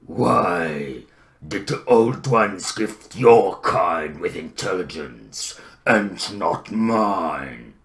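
A man voicing a brooding, eloquent, bitter troll king for fantasy game lines, gravelly and theatrical. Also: expressive, a bit bright, full character voice. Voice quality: deep, gravelly. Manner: slow, theatrical, grandiloquent.

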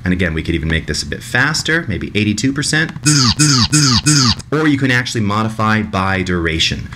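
A man in his thirties with a fairly low voice presents a screencast tutorial. His delivery is very lively and conversational, swinging widely in pitch as he demonstrates. There is faint room noise behind him. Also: a little fast. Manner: animated, demonstrative, mimics sounds vocally. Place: small room indoors.

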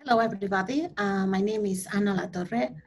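A woman's voice: medium pitch, fairly quick, darkish tone. Spanish-accented woman, faint background noise. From Spain. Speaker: research scientist, guest speaker. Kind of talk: informal self-introduction. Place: online video call.

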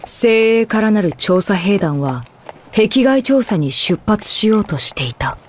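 A woman giving formal, measured, scripted narration for a dramatic story. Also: mid-range voice, expressive, a little hiss or hum. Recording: loud music behind narration.

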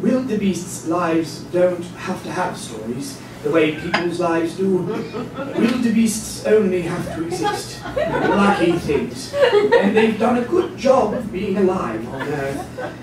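A woman's voice: lowish voice, sing-song, measured pace. A woman giving a scripted dramatic reading as a narrator on stage. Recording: very noisy, distant, echoey hall.

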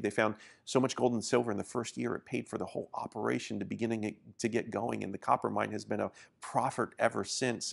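A man's voice: medium pitch, vocal fry. A man in his forties who grew up in Indonesia, teaching a sermon to camera in an earnest, encouraging way, often restarting his words. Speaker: pastor. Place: small studio set.

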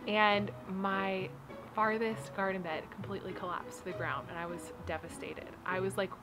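A chatty woman in her thirties talks straight to the camera from her backyard garden, upbeat and loose with plenty of "like" and "you know." Her voice sits at an ordinary middle pitch, and music plays clearly underneath. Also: level tone, moderate pace, low-quality recording. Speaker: homestead building vlogger. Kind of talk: casual how-to talk to camera. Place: backyard garden outdoors by wooden raised beds. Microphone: camera framed on her seated, medium shot.

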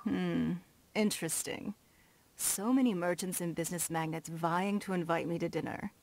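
An actress playing a stately, shrewd noblewoman in a video game, formal, measured and self-assured, with prominent S sounds. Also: on the low side, vocal fry, darkish tone, no room sound. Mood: composed, gracious. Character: poised, stately noblewoman and shrewd business magnate. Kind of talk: video game character dialogue. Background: background music.

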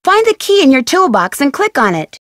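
A woman with an American accent voicing a friendly, inquisitive young amateur detective in a scripted video game, speaking in a chipper, clearly enunciated way. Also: high voice, sing-song, a little fast, fairly bright tone, no echo, clean studio sound. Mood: chipper, instructive. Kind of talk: scripted video game voice lines and tutorial narration.

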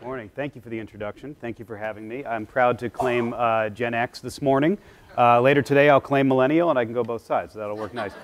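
Man, 40s, keynote speaker, lapel mic, lecture hall, conversational, self-deprecating, filler-heavy, middle pitch. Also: steady tone, fast. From Philadelphia area, US East Coast. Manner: gestures with a clicker while pacing the stage. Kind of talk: conference keynote talk. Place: large lecture hall with projection screen.

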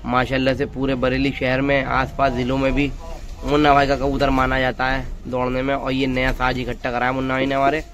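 A man's voice: medium pitch, completely flat delivery, fairly quick, a bit bright. Chatty man filming pigeons outdoors. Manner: chatty, addresses viewers as friends. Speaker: pigeon-keeping vlogger. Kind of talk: casual show-and-tell narration. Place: open-air courtyard full of pigeons. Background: pigeons cooing and fluttering.

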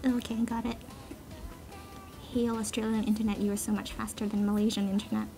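A woman's voice: mid-range voice, level tone, brisk, gravelly, warm tone. A woman with a playful, giggly, self-deprecating manner, chatting casually and ramblingly to online listeners. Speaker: amateur cover singer answering a voice meme. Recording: music playing behind the voice. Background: background song.

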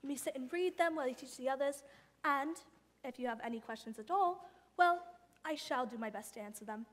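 A woman playing a gentle, earnest schoolteacher, polite and hesitant in a theatrical style. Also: high-pitched, brisk, close to an everyday voice. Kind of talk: theatrical stage acting. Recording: reverberant hall, faint music behind.

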